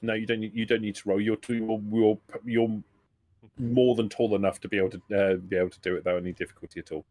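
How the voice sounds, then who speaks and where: A man narrating a tabletop roleplaying game as game master, halting and repeating phrases as he explains. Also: on the low side, fairly even intonation, a little mellow, no echo.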